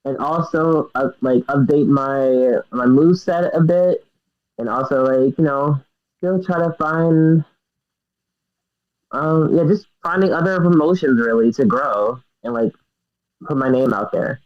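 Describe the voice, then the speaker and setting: A man's voice: on the high side, a little slow. A young man speaking as a guest in a casual interview, hesitant and giggly, leaning on lots of fillers. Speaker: guest wrestler. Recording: dull lo-fi sound.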